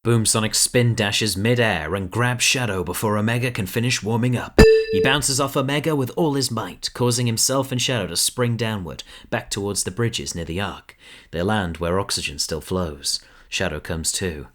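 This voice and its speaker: A man's voice: lowish voice, normal speed, fairly bright tone. A man reading scripted, dramatic narration as the narrator of an audio drama.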